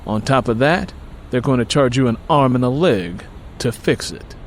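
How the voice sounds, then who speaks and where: A man's voice: medium pitch, expressive, moderate pace, slight background noise, lightly acted. A man voicing a streetwise, sly handyman in an audiobook, casual, slangy and persuasive. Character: streetwise, sly male handyman sizing up a deal.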